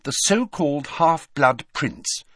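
Man voicing a bossy high-pitched teenage girl. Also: expressive, slow, fairly bright tone, no room sound, studio quality, heavily acted. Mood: purposeful. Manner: insistent, emphatic, argumentative.

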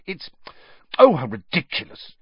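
A man voicing an earnest, excitable teenage schoolgirl witch, lifting his voice and turning quick to fluster. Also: on the high side, breathy edge, no room sound, pitched above the performer's own voice, exaggerated voice. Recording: dull, dry, lo-fi audiobook recording.

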